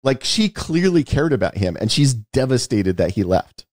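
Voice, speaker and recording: A man's voice: mid-range voice, sing-song, normal speed, darkish tone, dry recording. A man hosting a podcast, talking through a film in an emphatic, explanatory way and often checking in with "right?". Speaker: podcast host. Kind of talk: conversational film analysis.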